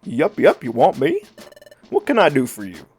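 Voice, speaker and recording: A game player voicing an excitable, folksy little beaver creature with an eager, exclamatory manner, in a video game. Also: medium pitch, moderate pace, soft background music.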